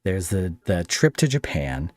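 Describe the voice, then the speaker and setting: Male podcast host, low register, dry sound, halting conversational delivery, thinks aloud while asking questions. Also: sing-song, no echo. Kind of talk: casual interview, reading listener questions.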